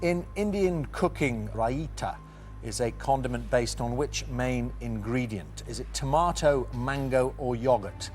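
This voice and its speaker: Brisk teasing male quiz host. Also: mid-range voice, darkish tone, faint music behind. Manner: brisk, teasing, reads multiple-choice questions. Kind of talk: scripted TV quiz hosting. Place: TV studio.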